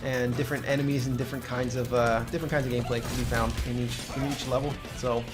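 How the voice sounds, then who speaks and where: Mid-pitched man commentating over game music. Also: little pitch movement, quick pace. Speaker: gaming video host.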